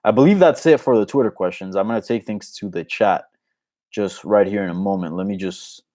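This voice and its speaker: A man's voice: on the low side, brisk, mellow. A man from Colombia whose first language is Spanish, hosting a casual, unscripted live Q&A in an informal, conversational way. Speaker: host of an MMA live Q&A show.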